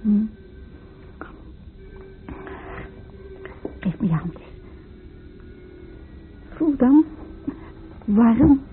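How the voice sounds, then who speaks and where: Sleepy lovey wife, cooing and coaxing, old radio play, muffled lo-fi sound. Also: female voice, on the high side, very animated, drawn-out words, a little hiss or hum, exaggerated voice. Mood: sleepy, affectionate. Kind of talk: intimate radio play dialogue.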